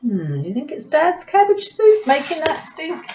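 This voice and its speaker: A woman reading a children's story aloud as a teacher would, with expressive delivery and asides to young listeners. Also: high voice, unhurried, a little hiss or hum. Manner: asks the listening children questions. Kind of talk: expressive picture-book read-aloud with asides.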